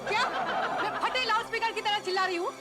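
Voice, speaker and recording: A sharp-tongued, indignant wife, scolds and retorts in an extremely high voice. The acting is theatrical sitcom comedy with music underneath. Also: female voice, quick pace. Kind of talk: broad Indian TV sitcom acting.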